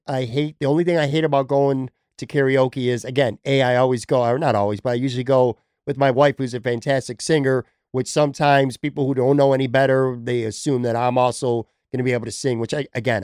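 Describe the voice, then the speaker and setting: A man's voice: medium pitch, fairly even intonation, fairly quick, a little mellow, clean tone, dry recording, clean studio sound. A man hosting a casual sports podcast, chatting and joking with a guest. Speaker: podcast host.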